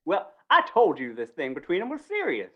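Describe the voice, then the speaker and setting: A woman's voice: fairly low pitch, huge pitch swings, normal speed, slightly rough, highs cut off, full character voice. Woman playing swooping, flustered Western undertaker. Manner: stammering, pleading, rustic grammar. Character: flustered, wheedling small-town undertaker, a folksy Western man. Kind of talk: 1950s Western radio drama acting.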